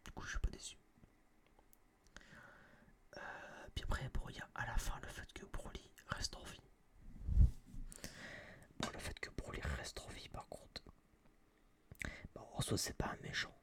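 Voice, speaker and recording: A young man whispering a casual, slangy, rambling review in breathy ASMR style, close to a binaural microphone. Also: measured pace, pauses often, pushed and bright. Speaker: ASMR video creator.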